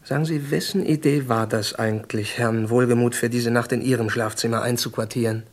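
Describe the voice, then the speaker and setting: A man's voice: mid-range voice, fairly even intonation, a little fast, lightly acted. A businesslike intelligence agent, played by a man in a vintage radio crime play. He questions in a middle register, direct and dry, never ornate. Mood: probing, businesslike. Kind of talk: 1970s German radio crime drama acting.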